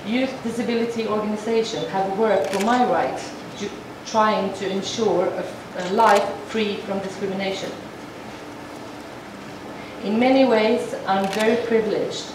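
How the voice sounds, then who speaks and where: A woman interprets a formal address into speech, measured and halting, often restarting her phrases. She sounds far from the microphone in a large, heavily echoing hall. Steady noise sits under her voice. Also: mid-range voice. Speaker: sign language interpreter voicing a speech. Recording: echoey hall from far off, noisy.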